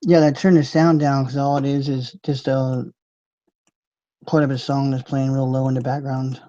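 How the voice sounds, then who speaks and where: A man's voice: mid-range voice, flat delivery, normal speed, mellow. Guy hosting a live stream, rambling and laid back, kinda muffled lo-fi sound. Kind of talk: casual live stream chat. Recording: dull lo-fi stream audio.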